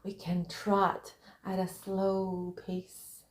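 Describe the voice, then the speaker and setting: A woman narrating a guided visualization in a meditative way, speaking in short, separated, breathy phrases. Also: mid-range voice, monotone, very slow, echoey room.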